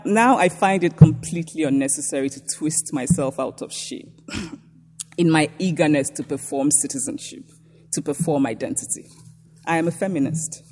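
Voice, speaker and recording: A woman from Nigeria with a Nigerian accent, reading a prepared lecture in a measured, reflective way. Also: low-pitched, warm tone, husky, in a large hall.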